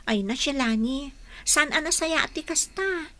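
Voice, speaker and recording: A woman's voice: high-pitched, lively intonation, unhurried, pushed and bright, dry recording, full character voice. A woman playing a frank, teasing young best friend in an audio drama. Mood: advising, concerned. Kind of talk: Filipino radio drama acting.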